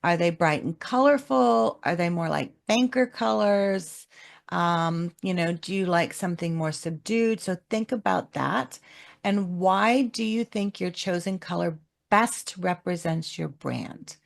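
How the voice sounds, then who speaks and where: Calm lady coach walking people thru a webinar, bit of vocal fry, steady explaining. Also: fairly low pitch, a little slow. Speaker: webinar co-host, leadership coach. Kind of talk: instructional webinar talk.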